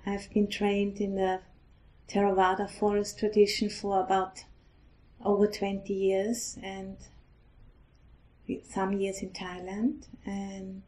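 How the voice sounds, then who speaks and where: A middle-aged woman from Austria with an Austrian German accent, a Buddhist nun giving a gentle, halting dharma talk. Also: medium pitch, rough recording. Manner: gentle, hesitant, halting with restarts.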